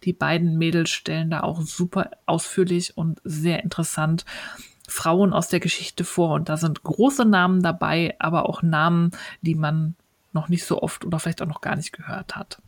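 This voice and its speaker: A woman's voice: deep voice, flat delivery, normal speed. A woman co-hosting a podcast, giving recommendations in a casual chat and wrapping up segments. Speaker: podcast co-host.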